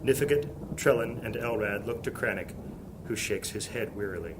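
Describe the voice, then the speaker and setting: A man's voice: on the low side, moderate pace, background noise, lightly acted. A man acting as the solemn storyteller of an epic fantasy audio drama, reading scripted dramatic narration. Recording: music bed under the voice. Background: music.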